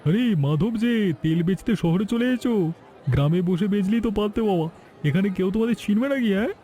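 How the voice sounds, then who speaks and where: A man's voice: sits high, animated, fast, dark tone, faint music behind, a faint crowd. A man playing a sneering, mean-spirited village merchant in a cartoon fairy tale, mocking and taunting.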